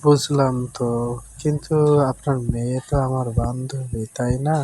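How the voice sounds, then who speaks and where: A man's voice: medium pitch, fairly even intonation, moderate pace, mellow, close to an everyday voice. A man acting the part of a polite, nervous young boyfriend in an amateur skit, speaking deferentially. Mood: uneasy, yielding. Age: young adult. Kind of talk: amateur staged phone-call skit. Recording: very noisy audio, clicks, insect chirping.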